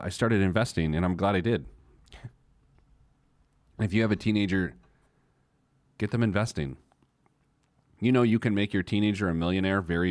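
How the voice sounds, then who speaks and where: Man, low pitch, dry studio sound, casual finance host, self-deprecating, digressive, repeats for emphasis. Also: no echo. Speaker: personal finance radio show host. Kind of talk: casual talk show monologue with some letter reading.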